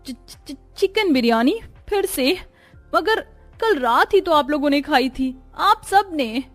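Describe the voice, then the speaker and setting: Woman acting a high, plaintive young daughter-in-law. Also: animated, unhurried, soft background music. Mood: dismayed, complaining. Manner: polite, plaintive. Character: overworked young new daughter-in-law, dutiful but exasperated.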